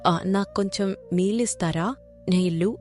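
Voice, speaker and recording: A woman plays a young princess from a cartoon moral tale. She is gentle, humbled and kind, living in hiding. Her voice sits at a middling pitch, with music underneath. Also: a little slow, lightly acted. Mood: timid, sad. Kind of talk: cartoon moral story dialogue.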